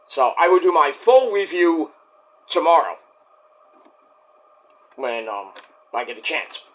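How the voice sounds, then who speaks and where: A hoarse man rambling casually through a solo wrestling review, joking and now and then breaking into shouts. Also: on the high side, big pitch swings, slow. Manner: rambling, jokey, with bursts of shouting.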